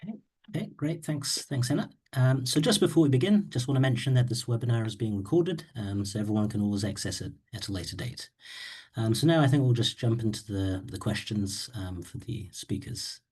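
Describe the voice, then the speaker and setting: A man's voice: medium pitch, expressive, fairly quick, a little mellow, slightly rough. A man, hosting a webinar in an easygoing way with many ums. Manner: easygoing, with frequent ums and uhs. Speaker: webinar host, moderator. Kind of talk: moderating a webinar.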